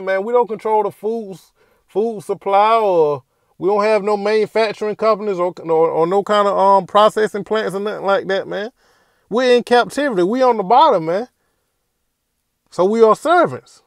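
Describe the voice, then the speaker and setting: High-voiced man, rambling commentary. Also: moderate pace, no echo, studio quality. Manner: rambling, repeats "all right" and "man" as fillers. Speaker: commentator reacting to a street video. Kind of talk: casual spoken commentary over a video.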